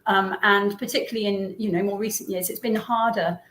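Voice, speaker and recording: A woman's voice: mid-range voice, fairly even intonation, brisk, a bit bright, slight background noise. A woman with an English accent, a business owner speaking as an interview guest, talking in a thoughtful, friendly way with frequent ums and easy laughter. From England, Herefordshire. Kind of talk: casual interview. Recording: roomy echo.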